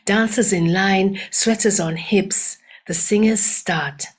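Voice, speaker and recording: An older woman from Uganda, a storyteller reading her own poem aloud in a soft-spoken way. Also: medium pitch, husky. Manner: soft-spoken, unhurried, breaks into chanted and sung verses. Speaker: storyteller and poet.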